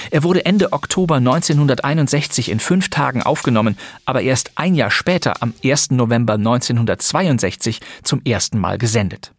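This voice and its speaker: A man's voice: medium pitch, no echo. A man reading a scripted introduction to a radio play as its host. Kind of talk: scripted narration.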